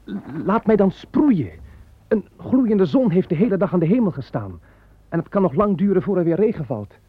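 Seth, a young farmer, earnest and frank in an old radio play. His voice is husky and close-miked with no room sound. The recording is narrow and tinny, like an old broadcast. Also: sits high, big pitch swings, moderate pace, no room sound, full character voice. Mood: eager. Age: young adult. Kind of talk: 1960s Dutch radio drama.

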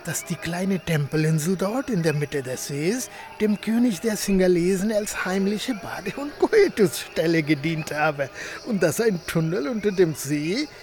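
An actor playing a learned, self-important Tamil gentleman in a radio play, speaking politely in florid, pompous phrases. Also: high-pitched, expressive, a little slow, faint music behind. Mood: informative. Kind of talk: German radio play acting.

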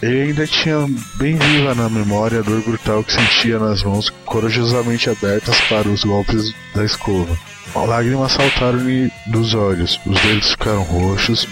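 A Brazilian man giving a dramatic reading of a short story as its narrator. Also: lowish voice. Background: music.

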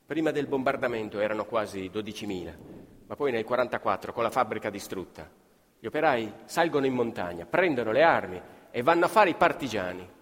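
A man's voice: medium pitch, lively intonation, fairly quick, fairly bright tone. A man narrating dramatically as an actor-storyteller in radio theatre, declamatory, with rhetorical questions and repetitions. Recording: reverberant hall, live music behind. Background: live violin and plucked strings.

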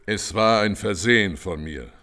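Grave barefoot holy man in a radio play, slow, lowish voice. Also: pushed and bright. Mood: grave, calm. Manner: solemn, measured, grave. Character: solemn, gentle barefoot saint and miracle worker. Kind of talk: German radio play acting.

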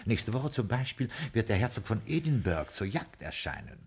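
A haughty old butler answers in dry, clipped lines. His voice is low and heavily rasped. It sounds like a 1950s radio crime play. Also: big pitch swings, moderate pace, exaggerated voice. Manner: curt, dry, superior. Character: dry, haughty British castle butler, older man.

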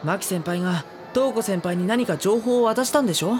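A woman playing an earnest, easily flustered high school boy in an audio drama. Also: a little fast, over music, natural voice. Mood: firm, accusing. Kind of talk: Japanese audio drama acting.

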